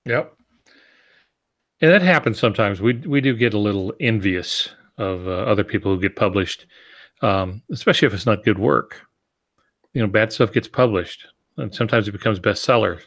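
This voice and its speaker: A man's voice: lowish voice, normal speed, fairly bright tone. A man co-hosting a podcast, giving conversational advice in a plainspoken, matter-of-fact way. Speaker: podcast co-host.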